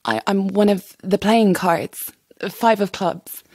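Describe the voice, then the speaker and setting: A woman's voice: medium pitch, expressive, slow pace, a little mellow, husky, no room sound, studio quality, heavily acted. A woman playing a timid, eager, slightly unsettling young chorus dancer in an audio drama, hesitant and apologetic. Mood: nervous, apologetic.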